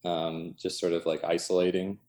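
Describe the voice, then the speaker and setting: A man's voice: low-pitched, flat delivery, moderate pace, noisy background. An American man talking casually in an interview, thinking aloud in loose fragments peppered with "like" and "you know". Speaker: coffee shop owner being interviewed.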